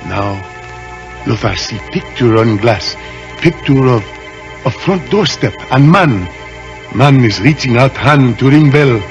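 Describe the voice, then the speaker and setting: An old radio drama with music behind. a huge, loyal male servant with a mid-pitched voice. He talks solemnly and simply, in halting, deferential broken English. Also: lively intonation. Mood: awed. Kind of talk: 1940s radio acting.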